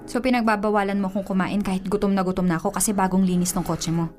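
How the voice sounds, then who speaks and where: A woman's voice: mid-range voice, steady tone, quick pace, darkish tone, faint music behind, close to an everyday voice. A woman with a Filipino accent playing a hot-tempered, sarcastic young girlfriend in a radio drama, nagging emphatically. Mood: hungry, irritated.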